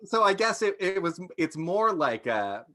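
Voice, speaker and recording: A man's voice: high-pitched, big pitch swings, unhurried, bright, forceful tone. A man giving his opinions informally as a panelist in a casual discussion, repeating words as he thinks.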